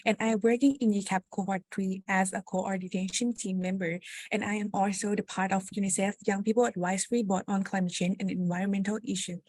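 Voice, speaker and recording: A woman's voice: medium pitch, steady tone, fairly quick, a little mellow, no room sound. A young woman from Thailand in her twenties, a student introducing herself on a panel in simple, earnest phrasing.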